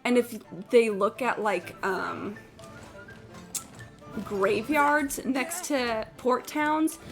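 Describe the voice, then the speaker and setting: A woman's voice: a little high, drawn-out words, soft background music. A woman livestreaming a game, chatting casually and opinionatedly in a blunt, sweary, incredulous ramble. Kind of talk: casual opinionated chat while gaming.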